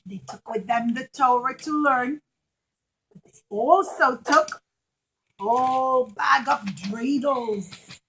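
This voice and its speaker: Slow, high-voiced woman storyteller. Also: a bit bright, slight background noise, poor audio. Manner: simple phrases, repeats, questions to listeners. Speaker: storyteller, children's teacher. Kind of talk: storytelling for young children.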